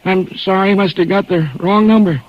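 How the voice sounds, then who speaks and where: A man's voice: sits high, fairly even intonation, moderate pace, close to an everyday voice. An actor playing a nervous, stammering man on a phone line in a 1940s radio play. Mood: flustered. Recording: muffled old radio, heard from off-mic.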